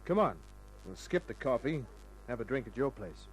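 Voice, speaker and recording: A man's voice: medium pitch, sing-song, normal speed, noisy background, lightly acted. Man playing a laconic hard-boiled radio private eye. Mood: firm, businesslike. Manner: laconic, wry. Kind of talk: old-time radio detective drama.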